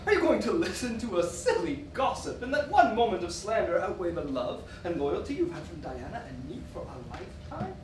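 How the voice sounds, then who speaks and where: A man's voice: sits high, big pitch swings, fairly quick, hardly any pauses, noisy background. An indignant, loyal husband, played by a man on stage, speaking emphatically with hugely swinging intonation. His speeches are rhetorical. He is heard from far off in an echoey room. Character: indignant, loyal husband defending a woman friend. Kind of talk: stage acting in a one-act play.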